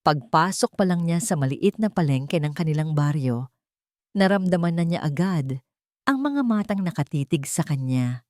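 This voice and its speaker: Low-voiced woman narrating a dramatic story. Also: a little mellow, no echo, studio quality.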